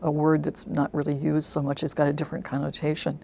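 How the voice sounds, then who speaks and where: A woman who teaches French, a guest author speaking in a measured, hesitant way in an interview. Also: low voice, completely flat delivery, creaky, a little mellow, slight background noise.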